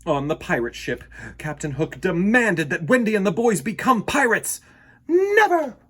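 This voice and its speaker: Man, children's storytime host, highish voice, very lively pitch, unhurried, theatrical. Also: breathy edge. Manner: theatrical, expressive, with dramatic pauses. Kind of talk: animated storybook narration for children.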